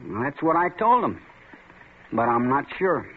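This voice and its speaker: A man's voice: fairly high pitch, sing-song, a little slow. An actor playing a taunting, swaggering young man in a 1940s radio drama, needling his friend as a coward. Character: taunting young male tough, Red. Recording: tinny lo-fi old radio broadcast with faint hiss.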